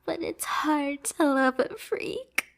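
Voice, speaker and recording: A woman's voice: high voice, steady tone, takes it slowly, fairly bright tone, voice pushed up, full character voice. A woman playing a lonely, jealous, unhinged pet catgirl in an emotional anime ASMR roleplay, dramatic and sometimes whispery. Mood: despairing, tearful.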